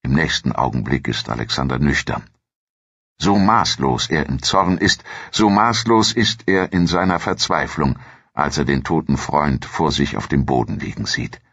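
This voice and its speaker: A man reading a scripted historical documentary in a measured, dramatic storytelling manner. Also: a bass voice, a bit bright, husky, dry recording, dull audio. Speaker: narrator.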